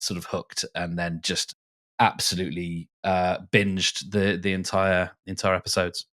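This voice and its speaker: Lower-voiced man telling anecdotes casually. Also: little pitch movement, a little slow, a bit bright. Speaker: podcast guest. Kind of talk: casual chat, personal anecdote.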